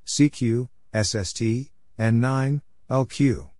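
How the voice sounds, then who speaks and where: A man reading scripted radio-practice exchanges in short, deliberate, clearly separated phrases. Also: medium pitch, steady tone, a little mellow, no room sound. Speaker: Morse code practice narrator.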